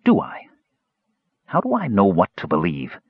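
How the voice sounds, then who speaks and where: A man's voice: low voice, wildly swooping pitch, a little slow, husky, dry recording, narrow, tinny audio, exaggerated voice. A man voicing a frail, bitter mage with a cold, sarcastic, demanding manner in a fantasy audiobook. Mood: demanding, suspicious. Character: frail, bitter, sharp-tongued male mage.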